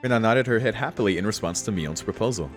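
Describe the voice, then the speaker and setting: A man's voice: fairly low pitch, fast talker. A man streaming a visual novel, reading the text aloud and adding laid-back, informal asides. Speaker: let's play streamer. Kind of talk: reading game text aloud with casual commentary. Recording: faint game music behind the voice.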